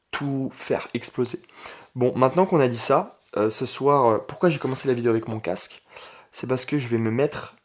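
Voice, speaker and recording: Young adult male, medium pitch. Online creator delivering an informal, emphatic solo vlog with repeated key phrases. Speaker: online entrepreneur and video creator. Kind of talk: casual vlog monologue.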